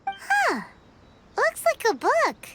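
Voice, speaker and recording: A woman voicing a tiny, excitable, childlike fairy companion in a video game, squeaky and exclamatory. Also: extremely slow, heavily acted. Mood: curious. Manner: refers to self in third person, exclamatory. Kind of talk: video game character acting. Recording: studio voice over game music. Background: game music.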